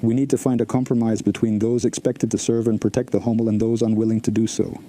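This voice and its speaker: A male TV studio pundit who speaks fast in a monotone, with a very dark, heavy tone. His sentences come out as broken, disconnected fragments. The sound comes from a broadcast clip. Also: on the low side. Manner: flat, fragmented statements. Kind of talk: broadcast TV talk segment.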